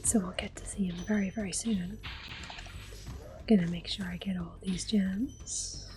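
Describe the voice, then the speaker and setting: A woman giving soft-spoken ASMR gameplay commentary in a breathy half-whisper, gently narrating each move. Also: mid-range voice, steady tone, measured pace, music in the background. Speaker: game streamer, host.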